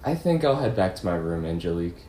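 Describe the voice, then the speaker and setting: A man playing a flirtatious, dramatic gentleman in an amateur puppet show, speaking in a halting, flowery manner. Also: sits low, sing-song. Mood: suave, jovial. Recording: echoey room with faint noise.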